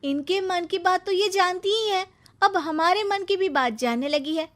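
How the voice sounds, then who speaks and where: A woman's voice: very high-pitched, normal speed, a bit bright, voice pushed up. A woman voicing a young daughter-in-law who complains about her mother-in-law and turns sweet when she wants something. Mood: complaining. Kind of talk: cartoon story voice acting.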